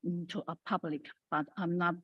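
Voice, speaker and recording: A woman's voice: lowish voice, fairly even intonation, normal speed, breathy edge, no echo, muffled recording. Woman, hesitant audience speaker, dry close mic. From Taiwan. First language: Mandarin Chinese. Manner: hesitant, many fillers. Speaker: audience member speaking from the floor.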